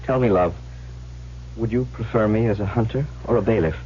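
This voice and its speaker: An actor playing an earnest, troubled young suitor in an old radio drama, measured and dramatic in speech and narration. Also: male voice, on the low side, warm tone, a little hiss or hum, natural voice. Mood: earnest resolve. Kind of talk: old-time radio drama acting and first-person narration. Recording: muffled vintage radio recording with music.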